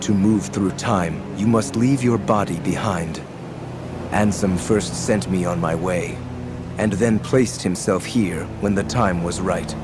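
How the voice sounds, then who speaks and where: A cold, composed young male villain from a video game, low-pitched and solemn. He speaks in slow, cryptic, measured phrases over clear background music. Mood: cryptic, calm. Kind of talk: video game cutscene acting.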